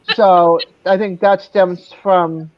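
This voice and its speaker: A man's voice: sits high, fairly even intonation, slow, muffled recording, slight background noise. A man with a slight Boston accent, a guest in a casual chat, rambling and correcting himself as he goes. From born near Miami, raised mostly in New England. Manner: rambling, self-correcting, chuckles.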